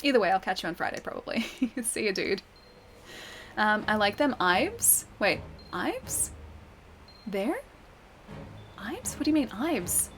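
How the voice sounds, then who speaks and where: Australian woman streamer, mid-pitched, chatty and giggly, game music underneath. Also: big pitch swings, a little slow, long pauses. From Western Australia. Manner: chatty, laughs often, reads and answers chat. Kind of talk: casual gameplay chat with viewers.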